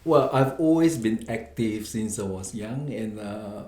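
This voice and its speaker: A man's voice: fairly low pitch, measured pace, mellow. A man in his sixties from Singapore, a businessman guest, telling his life story humbly with frequent laughs and short answers. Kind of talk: personal life story interview. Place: book-lined studio room. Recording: roomy studio sound.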